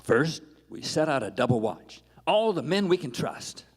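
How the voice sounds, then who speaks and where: Man acting an excitable, animated cowboy ranch hand. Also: fairly high pitch, normal speed, husky. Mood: earnest. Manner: folksy, repetitive, eager Western talk. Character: excitable male ranch hand, loyal and earnest. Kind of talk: live Old West radio play acting. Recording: echoey concert hall.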